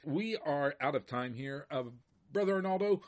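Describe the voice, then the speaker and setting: Friendly podcast host, slightly high voice. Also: male voice, lively intonation, normal speed. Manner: brief, friendly prompts.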